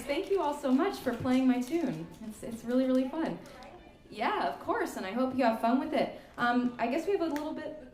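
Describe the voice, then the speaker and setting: Woman, young adult, workshop leader, chatty, upbeat, roomy hall sound. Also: high voice. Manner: upbeat, chatty, counts the band in. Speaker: workshop leader, klezmer musician. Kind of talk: teaching a music workshop. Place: community center hall. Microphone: stage mic.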